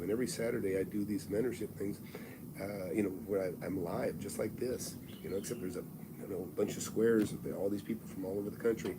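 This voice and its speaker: Older male host in his sixties, low-pitched and plainspoken, pitching mentorship live over audible background noise. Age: 60s. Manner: blunt, folksy and salesy, with frequent restarts. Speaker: real estate mentor and channel host. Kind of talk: live-streamed advice talk.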